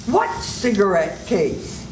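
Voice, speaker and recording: A woman's voice: medium pitch, huge pitch swings, takes it slowly, darkish tone, reverberant, a little hiss or hum, low-quality recording. An older woman voicing a cool, evasive woman doctor in an amateur live radio play.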